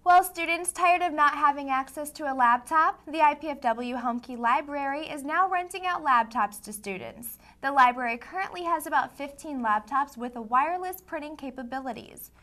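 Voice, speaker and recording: Young female student anchor, high pitch, scripted newsreading. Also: normal speed, hardly any pauses. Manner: bright, read-aloud anchor cadence. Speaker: news anchor. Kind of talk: scripted news reading.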